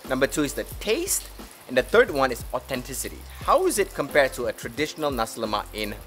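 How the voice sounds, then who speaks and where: A woman presents a food video as its host. Her voice is very deep for a woman and moves in lively swings, with music playing underneath. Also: quick pace. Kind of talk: scripted video introduction.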